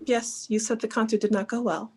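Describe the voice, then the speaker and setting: An actress plays a concerned woman in an old-time radio play. Her voice sits somewhat high, and she speaks in a measured, earnest manner, the steady confidante who questions and listens. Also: breathy edge. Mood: puzzled. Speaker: actor. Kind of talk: 1940s radio drama acting.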